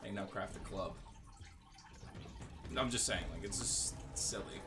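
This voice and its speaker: A man's voice: fairly low pitch, measured pace. A man giving casual gameplay commentary in a joking, sarcastic, crude way. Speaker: gaming streamer.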